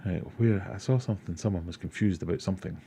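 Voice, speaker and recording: A man's voice: low-pitched, fast talker, mellow, slight background noise. A Scottish man from Glasgow hosting an aquarium livestream, casually answering chat questions and rambling with self-deprecating asides. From Glasgow, Scotland.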